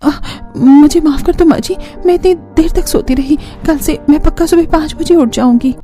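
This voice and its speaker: A woman voicing a nervous young new bride from a family cartoon. Her voice sits high and soft-edged, worried and apologetic, with music playing behind it. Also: normal speed, lightly acted. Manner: apologetic, worried, wide-eyed. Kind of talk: cartoon family story dialogue.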